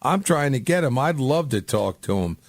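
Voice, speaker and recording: A man's voice: mid-range voice, lively intonation, normal speed, dry recording. A man hosting a casual radio interview, chatting in an informal, rambling way. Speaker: radio show host.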